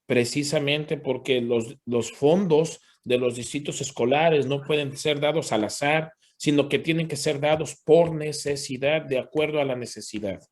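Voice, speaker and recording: A man interpreting live at an online community meeting, switching briskly between Spanish and English and using fillers like "este" and "pues". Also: on the high side. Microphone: video call.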